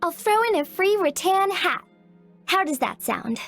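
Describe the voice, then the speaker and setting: A woman's voice: very high-pitched, very animated, a little slow, vocal fry, fairly bright tone, faint music behind, heavily acted. A woman voicing a cheerful young female artisan in a video game, talking in a sweet, upbeat way. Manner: sweet, upbeat sales patter.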